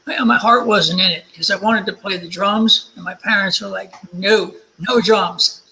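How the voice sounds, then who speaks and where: A man co-hosting a casual chat, lively and animated when telling a story. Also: high voice, normal speed, gravelly, slight background noise, low-quality recording.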